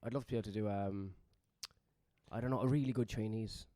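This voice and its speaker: A man's voice: lowish voice, steady tone, dark tone, no echo, studio quality. A young man hosting a podcast, trading crude banter, swearing constantly and laughing as he rambles through stories. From Ireland. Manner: swears a lot, laughs often, quick rambling storyteller. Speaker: podcast host.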